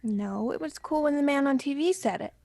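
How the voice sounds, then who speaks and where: A woman's voice: high-pitched, fairly quick, gravelly, no echo. A young woman playing an anxious, kindly leaf who tries to keep the peace in a student radio play. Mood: nervous. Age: young adult. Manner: pleading, soothing, hushed at times. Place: small improvised home recording space.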